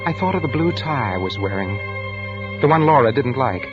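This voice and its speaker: A man's voice: medium pitch, animated, lightly acted. Haunted husband in an old radio thriller, a man acting. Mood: shaken, distraught. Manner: intense, haunted, halting delivery. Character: nervous, guilt-ridden husband plotting to poison his wife. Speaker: narrator and lead actor. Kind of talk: 1940s radio thriller acting, first-person narration. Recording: muffled vintage radio broadcast, music underneath.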